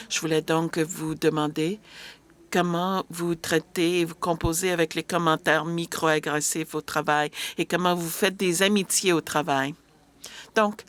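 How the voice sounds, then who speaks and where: Slow, low-voiced woman interpreting hesitantly. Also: a bit bright. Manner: hesitant, frequent filler sounds and restarts. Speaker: simultaneous interpreter at a panel. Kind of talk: live interpretation of a panel discussion.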